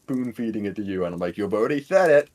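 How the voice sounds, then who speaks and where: A man's voice: lowish voice, animated, fast talker, a loud crowd. A man co-hosting a podcast as quizmaster, chatting casually and often stammering as he starts a phrase. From Canada.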